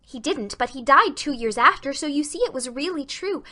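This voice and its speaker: A woman's voice: high-pitched, expressive, normal speed, sharp tone, pitched above the performer's own voice. A woman voicing an imaginative, fearful young girl with impassioned, romantic delivery in a dramatic audiobook. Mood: excited, fearful. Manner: breathless, impassioned, romantic storytelling. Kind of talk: dramatic audiobook character reading.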